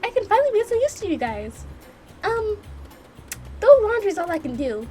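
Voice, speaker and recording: A woman's voice: very high voice, sing-song, normal speed, voice pushed up. A woman voicing a timid, naive young heroine in a visual novel, hesitant and apologetic, with music behind. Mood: shy, earnest.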